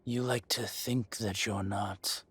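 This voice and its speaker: A man playing a menacing, possessive dragon-shifter mafia boss, speaking in slow, condescending threats in a dramatic audio roleplay. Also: lowish voice, fairly even intonation, fairly bright tone, husky, no room sound, full character voice. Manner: slow, deliberate, condescending threats with heavy pauses. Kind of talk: dramatic roleplay monologue addressed to the listener.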